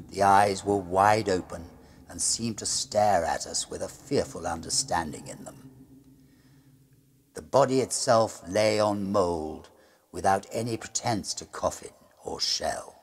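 Low, husky man telling gothic horror. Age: middle-aged. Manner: grave, deliberate, hushed at phrase ends. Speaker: storyteller reading a classic ghost story aloud. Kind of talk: dramatic gothic horror narration, read as a diary. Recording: music under the voice. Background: music.

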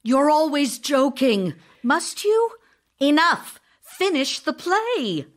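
An actress playing a passionate, impatient, loving stage actress in an audio drama of love letters, speaking in an ardent, demanding, theatrical way. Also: female voice, sits high, sing-song, slow, full character voice. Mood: insistent.

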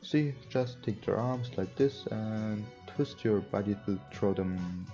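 A man's voice: lowish voice, takes it slowly. A young man who is a non-native English speaker, reading game text aloud and commenting casually as a let's player. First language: not English. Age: young adult. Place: small room indoors at a computer. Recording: muffled, with game music. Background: visual novel background music.

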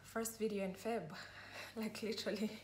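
A young woman chatting to her phone camera in a casual vlog, upbeat and excited about her busy day. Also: mid-range voice, normal speed, noisy background. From Rwanda. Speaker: real estate vlogger. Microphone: phone camera at arm's length.

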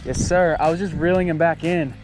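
A young man with a slightly high voice chats excitedly from a small boat on a lake, recorded on an action camera. Music plays under him. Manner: excited, laughing, quick exclamations. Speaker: fishing vlogger. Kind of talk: casual chat while fishing.

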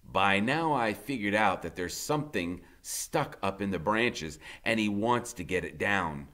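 Animated, bright-voiced American man reading aloud. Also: fairly low pitch. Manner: dramatic, conversational, drawn-out emphasis on key words. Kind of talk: expressive first-person storybook reading. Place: indoor classroom in front of a whiteboard.

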